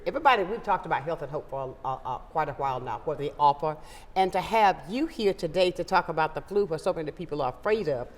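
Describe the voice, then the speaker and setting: An upbeat woman hosting a local morning talk show, her low-set voice swinging widely in pitch as she leans into key words. The studio adds a long reverb tail behind her. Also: expressive, fast. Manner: warm, emphatic, upbeat.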